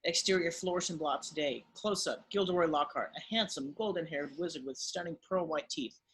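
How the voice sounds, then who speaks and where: A man with a husky voice reading stage directions as the narrator of a script table read. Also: fairly high pitch, normal speed, a bit bright, a little hiss or hum, rough recording.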